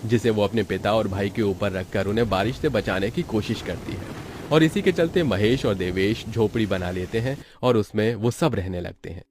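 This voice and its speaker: A man's voice: fairly low pitch, normal speed, darkish tone, a little hiss or hum. A man reading scripted narration as the storyteller of a moral tale.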